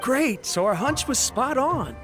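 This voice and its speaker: A man's voice: extremely high pitch, sing-song, measured pace, over music, heavily acted. An actor playing an eager, nervous young man in a video game, deferential and prone to stammering. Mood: eager, flustered.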